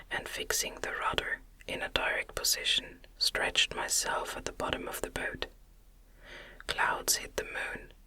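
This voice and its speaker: A soft, fully whispered ASMR reading of a classic novel, delivered breathily in slow, soothing, deliberate phrases with pauses. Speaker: audiobook reader.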